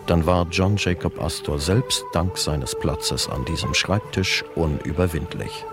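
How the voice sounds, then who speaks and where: A man's voice: very deep voice, unhurried, over music. A man narrating a radio play in a formal, matter-of-fact manner.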